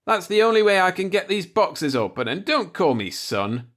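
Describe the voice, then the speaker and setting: Man playing a touchy boyish young inventor, pitched up. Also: very animated, moderate pace, fairly bright tone. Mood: irritable. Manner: curt, irritable. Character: touchy, stubborn, boyish young male inventor, twenty-six.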